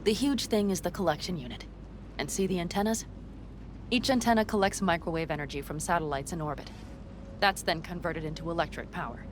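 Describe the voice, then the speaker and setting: An actress playing a tough, self-assured nomad mercenary in a video game, brisk, confident and plain-spoken. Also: female voice, fairly low pitch, lightly acted. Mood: focused. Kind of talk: video game dialogue acting. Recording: vehicle and outdoor noise behind.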